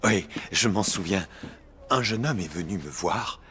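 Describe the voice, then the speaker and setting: A man playing a courteous, wistful painter of old Montmartre in an animated series. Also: deep voice, measured pace, a little hiss or hum. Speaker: voice actor. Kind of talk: French animated series dialogue.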